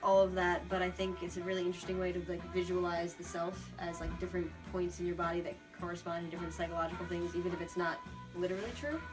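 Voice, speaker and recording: A woman's voice: on the low side, little pitch movement, quick pace, rough recording. A young adult woman speaking as an interview guest, explaining her art in an earnest, reflective, casual way. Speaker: guest, puppeteer and theater performer. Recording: music playing behind the talk. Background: guitar music.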